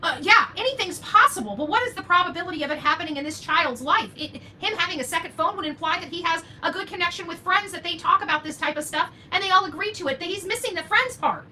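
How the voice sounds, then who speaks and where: Bright, forceful older woman giving commentary. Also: extremely high pitch, lively intonation, quick pace, hardly any pauses, slight background noise. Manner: emphatic, repeats key phrases for stress. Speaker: true-crime commentary host. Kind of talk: unscripted opinion commentary.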